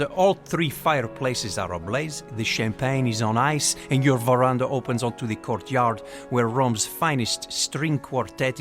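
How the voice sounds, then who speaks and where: An actor playing an obsequious, grandiose hotel manager in a radio drama, formal and flourishing. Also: male voice, mid-range voice, a bit bright, close to an everyday voice.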